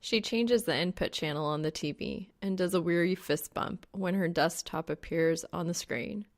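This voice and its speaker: A woman with a fry-edged voice reading scripted fiction narration as an audiobook narrator. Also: lowish voice, moderate pace.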